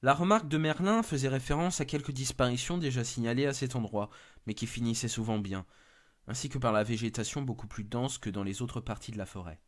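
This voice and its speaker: A man's voice: mid-range voice, a little fast. A man narrating a scripted first-person horror story.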